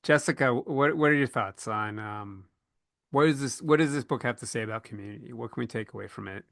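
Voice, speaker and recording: Man, podcast moderator, earnest, halting rephrasing, medium pitch, dry sound. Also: creaky, a bit bright, no echo. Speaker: podcast co-host, panel moderator. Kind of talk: panel discussion, conversational.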